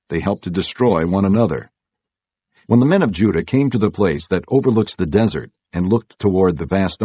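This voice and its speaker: A man narrating an audio Bible as scripted storytelling, in a measured, solemn way. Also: low-pitched, dark tone, breathy edge, dry recording.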